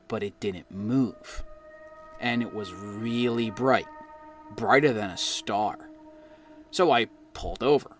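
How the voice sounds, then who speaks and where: A middle-aged American man from New Jersey narrating his own eerie experiences with dramatic pauses. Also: mid-range voice. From New Jersey, United States. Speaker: guest storyteller reading own experience. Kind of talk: personal ghost story narration. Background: background music.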